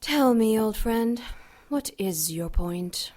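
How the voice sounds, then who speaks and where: A woman playing an ancient, seductive and coolly menacing demon goddess in an audio drama, silky, deliberate and mocking. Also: fairly high pitch, lively intonation, a little mellow. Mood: cool, probing.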